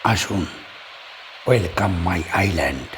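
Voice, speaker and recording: An actor playing a calm, polite man who hosts guests at his island bungalow. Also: low-pitched, measured pace, slight background noise, voice pushed down. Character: middle-aged man D'Souza, calm island bungalow host.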